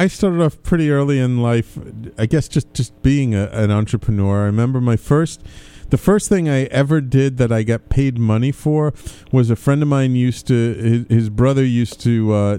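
A man's voice: mid-range voice, dark tone, clean tone, no room sound. A man hosting a radio show in a casual, conversational interview style, chatty and rambling as he talks.

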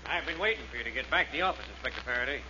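Bright-voiced police subordinate. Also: male voice, medium pitch, lively intonation, racing. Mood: matter-of-fact. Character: dutiful male police subordinate reporting to his boss. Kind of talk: 1940s radio detective drama acting. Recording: muffled, noisy old radio recording.

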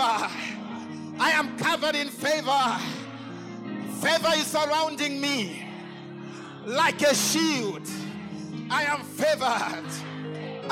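Man shouting declarations over music. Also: very high voice. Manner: forceful call-and-response declarations, often shouting. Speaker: pastor. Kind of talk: sermon, leading congregation in declarations.

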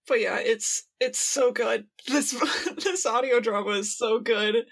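A woman co-hosts a casual fan discussion podcast. Her voice sits a little high. She talks informally and playfully, leaning with emphasis on her words. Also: slow, gravelly, slightly rough. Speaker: podcast co-host.

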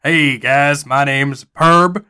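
Guy putting on a chubby friendly minnesotan dude, slow and halting, kinda bright tone. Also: on the high side, smooth, exaggerated voice. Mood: eager. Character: portly folksy Midwestern man, eager and friendly.

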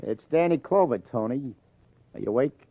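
Hard-boiled radio detective, tinny sound. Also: male voice, medium pitch, expressive, normal speed, dry recording. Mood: pressing. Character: hard-boiled male New York police lieutenant, brisk and wry. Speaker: lead actor, police detective. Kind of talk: 1950s radio crime drama acting. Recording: tinny vintage radio broadcast.

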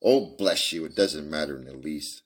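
A man reading aloud and voicing a brisk, sharp-witted male detective, raising his voice for the part. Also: extremely low pitch, slightly rough. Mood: amused.

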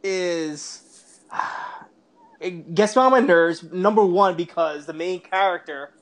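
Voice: mid-range voice, slow pace. A podcast host giving a casual film review as an emphatic, repetitive rant peppered with swearing.

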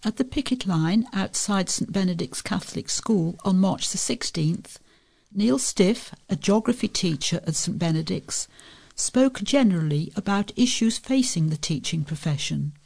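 A woman with a creaky voice reading local newspaper articles aloud as a volunteer reader. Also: medium pitch, animated, normal speed, a little mellow.

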